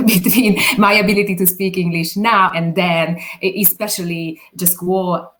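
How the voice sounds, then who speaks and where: A woman with a Hungarian accent, a non-native English speaker, answering interview questions hesitantly with many fillers. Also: on the low side. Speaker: guest, videographer.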